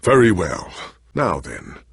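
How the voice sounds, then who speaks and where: A man playing a weary, ailing, confused warrior hero in a video game, with husky gravelly voice and formal, grave diction. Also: sits low, huge pitch swings, slow pace, no room sound, clean studio sound, exaggerated voice. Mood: courteous, grave. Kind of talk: dramatic video game voice acting.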